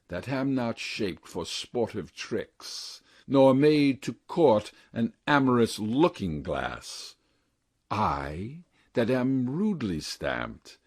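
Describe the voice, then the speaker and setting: A man playing a bitter, deformed, plotting villain in a deliberate, declamatory Shakespearean monologue. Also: on the low side, darkish tone, breathy edge, no room sound, studio quality, exaggerated voice.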